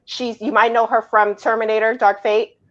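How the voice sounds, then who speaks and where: A woman's voice: a little high, little pitch movement, moderate pace. A woman on a casual discussion panel, arguing her picks in an insistent, emphatic way. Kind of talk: casual panel movie debate. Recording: dull lo-fi audio.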